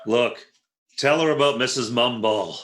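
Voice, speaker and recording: A man's voice: mid-range voice, measured pace, very noisy, close to an everyday voice. A man playing a jealous, dry, exasperated and boastful husband in a 1940s-style radio comedy, in an echoey room.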